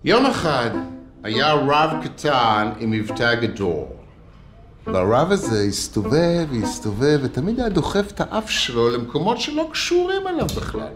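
A man's voice: mid-range voice, big pitch swings, fairly bright tone, echoey room, faint music behind. A man playing a sinister, sanctimonious rabbi who makes threats through parables, telling them in a theatrical, menacing, mock-pious way.

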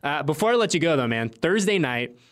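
A man's voice: a little high, big pitch swings, brisk, a bit bright. A man hosting a sports podcast in a casual, chatty, enthusiastic way, repeating himself for emphasis.

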